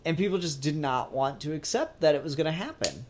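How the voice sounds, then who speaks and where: A man's voice: on the high side, lively intonation, brisk, darkish tone. A man co-hosting a podcast, talking casually and informally about pop culture. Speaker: podcast co-host. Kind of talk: casual discussion of comics and TV.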